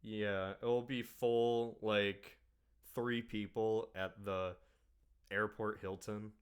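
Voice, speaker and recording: A man with a fairly low voice hosts a loose, casual podcast conversation. He drawls through his sentences and often lets them trail off into quiet mumbles. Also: little pitch movement, drawn-out words, smooth. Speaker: podcast host.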